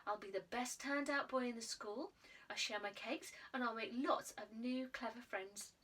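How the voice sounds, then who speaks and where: A woman voicing an earnest, proper schoolboy who talks primly and turns shrill when he protests. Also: normal speed, bright, forceful tone, breathy edge, far from the mic. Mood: proud. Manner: prim and self-important, rising to shrill protest.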